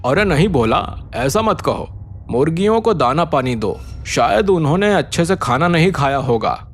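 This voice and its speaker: A man's voice: on the high side, sing-song, moderate pace, faint music behind. A man voicing a greedy, insistent village merchant in a cartoon, talking in a pushy, familiar way.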